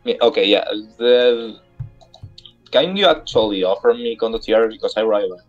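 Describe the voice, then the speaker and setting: Halting man on muffled voice chat. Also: lowish voice, faint music behind. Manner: halting, searching for words, clears throat. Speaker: fellow player. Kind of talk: casual multiplayer voice chat.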